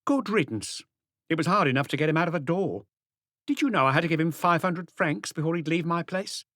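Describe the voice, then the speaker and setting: A man's voice: fairly high pitch, animated, brisk, a bit bright, no room sound, studio quality, voice pushed up. A man voicing a tough, distrustful middle-aged woman with curt, scornful retorts in an audiobook. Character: stony-faced, tough, distrustful middle-aged French woman, defiant and defensive. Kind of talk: audiobook character dialogue.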